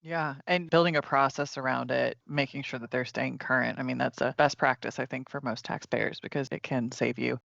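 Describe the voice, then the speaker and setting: A man's voice: mid-range voice, fairly even intonation, a little fast, gravelly, hardly any pauses, fairly bright tone, no echo, highs cut off. A man hosting a conversational business podcast as a tax advisor, agreeable in his responses. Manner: agreeable, chuckles mid-sentence.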